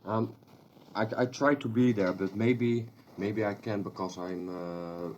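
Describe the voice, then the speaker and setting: A man with a Dutch accent and a very low voice talks haltingly, starting over often and filling pauses as he speaks. Faint noise sits behind him. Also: fairly even intonation, a little mellow, lo-fi sound. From the Netherlands. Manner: halting, with many fillers and restarts. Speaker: guest and new world creator. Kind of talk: casual live-stream chat.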